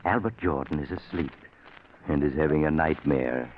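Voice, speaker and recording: Deep, sly, ominous mystery storyteller. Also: male voice, normal speed. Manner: sly, theatrical, savoring. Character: mysterious male storyteller, ominous, slyly genial. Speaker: host and narrator of a suspense show. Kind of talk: 1940s radio suspense narration. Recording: noisy, muffled 1940s radio recording.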